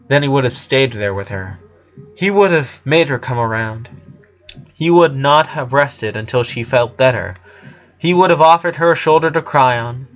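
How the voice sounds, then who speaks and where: A man with a fairly high voice reads a dramatic story aloud as narrator. He now and then trips over a word, and the recording is slightly dull. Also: fairly bright tone. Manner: occasional stumbles over words.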